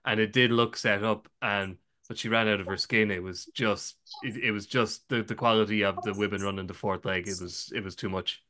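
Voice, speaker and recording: A man's voice: on the low side, fairly even intonation, brisk, hardly any pauses, pushed and bright. An Irish man hosting a sports podcast, talking casually and wryly with frequent restarts. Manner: wry, self-interrupting with restarts. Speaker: podcast host.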